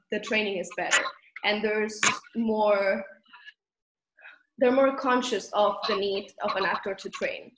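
Spanish-accented woman in an echoey room. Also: medium pitch. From Spain. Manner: animated, laughs often while talking. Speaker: guest. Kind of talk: conversational interview. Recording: echoey room with background noise.

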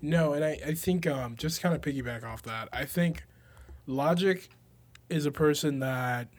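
A man's voice: on the high side, normal speed. A young man co-hosting a podcast, chatting casually about music with slangy, informal phrasing and some swearing. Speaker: podcast co-host.

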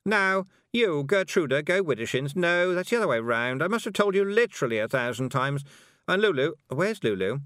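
A man voicing a haughty, bossy teenage girl who is a witch student, condescending and sharp. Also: on the high side, big pitch swings, moderate pace, dry recording, studio quality, voice pushed up.

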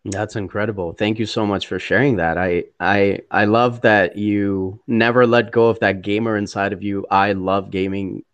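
A man's voice: on the low side, little pitch movement, moderate pace, few pauses, no echo. A man hosting a casual interview, enthusiastic and effusive about his guest. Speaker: interview host for a community.

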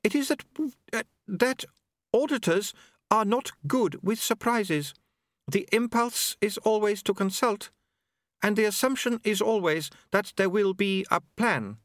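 Storybook young woman, played by a man: raised pitch, meek, formal, diplomatic, takes everything literally. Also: measured pace, fairly bright tone, dry recording, studio quality. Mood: hesitant, formal. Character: polite, literal-minded, earnest young woman.

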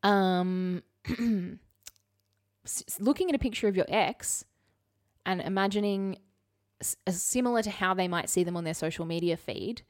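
A woman's voice: medium pitch, normal speed, long pauses. A woman co-hosting a podcast, chatting casually and summarising articles in an informal, explanatory way with wry asides. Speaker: podcast co-host.

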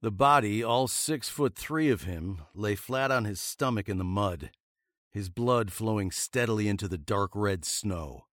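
A man's voice: lowish voice, lively intonation, measured pace, a bit bright, dry recording, clean studio sound. An actor playing a wry fugitive western hero, narrating his tale in a literary, sardonic way for a radio drama. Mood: grave, wry.

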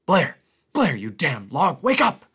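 A man voicing an angry, frightened expedition member who swears and snaps in a raised, gruff voice. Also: a little high, lively intonation, moderate pace, fairly bright tone, voice pushed up. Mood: alarmed.

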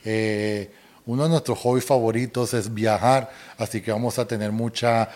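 A middle-aged man with a softened Colombian accent, co-hosting a casual chat with his wife and rambling a little as he talks. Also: on the low side, moderate pace, slight background noise. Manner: relaxed, rambling with restarts and fillers. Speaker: co-host.

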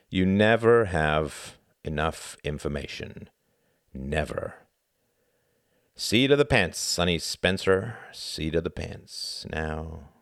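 A man voicing a gruff, cynical mentor in an audiobook, lecturing in blunt, emphatic, clipped phrases. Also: low-pitched, no echo, studio quality, exaggerated voice.

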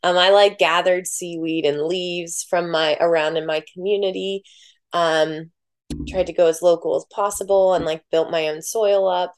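A woman guest in a casual podcast conversation, talking softly and reflectively with many filler words. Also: fairly low pitch, steady tone, normal speed, clean tone. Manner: soft-spoken, many "like" fillers.

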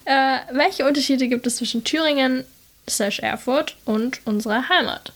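A young woman from the Stuttgart area, co-hosting a podcast in a relaxed, casual chat and asking questions. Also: high voice, normal speed. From Stuttgart area, Germany. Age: young adult. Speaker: podcast co-host.

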